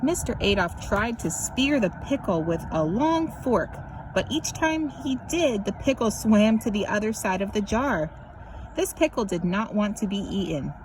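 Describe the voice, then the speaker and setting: A woman's voice: medium pitch, expressive, moderate pace, background noise. Woman reading a children's story expressively. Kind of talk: expressive scripted picture-book narration.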